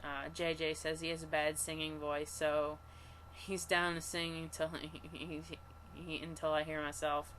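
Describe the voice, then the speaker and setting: A woman with a self-described monotone voice, leading an online scripture study, reading passages aloud and talking them through with halting restarts. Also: low-pitched, slight background noise. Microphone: computer mic for an online session.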